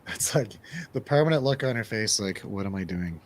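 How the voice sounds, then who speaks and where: A man chatting casually on a movie review panel, animated and repeating phrases for emphasis. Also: lowish voice, brisk.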